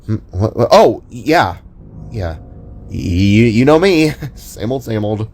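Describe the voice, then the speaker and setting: A man's voice: on the low side, big pitch swings, slow pace, a little mellow, heavily acted. A man voicing an absent-minded, awkward young man who stammers nervously in an audio drama. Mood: flustered, awkward.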